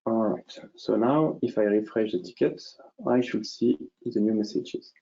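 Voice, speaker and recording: A man presenting a software demonstration in an unscripted, explanatory way, hesitantly thinking aloud as he goes. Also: on the low side, a little slow, highs cut off.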